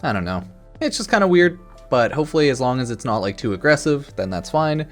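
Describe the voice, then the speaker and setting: A man's voice: medium pitch, sing-song, brisk. A man giving casual, rambling commentary as a gaming YouTuber, informal and noncommittal, with some mild swearing. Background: music in the background.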